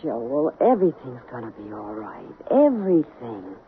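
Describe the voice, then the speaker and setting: An actress playing a tense, domineering, scheming wife in an old-time radio drama, sharp and commanding, on a tinny, noisy recording. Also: female voice, extremely low pitch, sing-song, normal speed. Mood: firm, uneasy.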